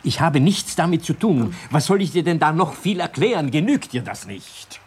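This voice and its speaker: An actor in a German radio crime drama playing a composed but defensive doctor, measured and insistent. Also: male voice, fairly high pitch, very animated, over loud music. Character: composed, defensive male doctor under suspicion. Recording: noisy mono archive radio recording.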